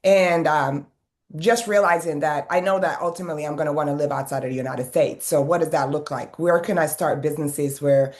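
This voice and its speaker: A woman's voice: sits low, fairly even intonation, a little fast. A woman in her fifties, raised in Sweden, chatting as a guest in an interview about her background and business. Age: 50s. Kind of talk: casual interview.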